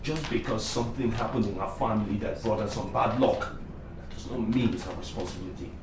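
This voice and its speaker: An actor playing a frustrated married man who talks forcefully and pleadingly. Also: lowish voice, fast, background noise, lightly acted. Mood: indignant. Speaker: husband and son.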